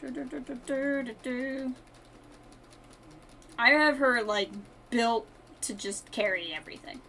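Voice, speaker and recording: Higher-voiced woman casually narrating her gameplay. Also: a little slow, sharp tone, slight background noise. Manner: talks to self in short asides, playful wording. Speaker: live streamer.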